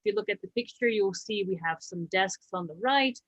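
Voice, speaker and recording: A woman, presenting slides about her research in a brisk, explanatory way with a light joke here and there. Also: mid-range voice, lively intonation, dry recording, lo-fi sound. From Slovakia. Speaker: astrobiologist and habitat director.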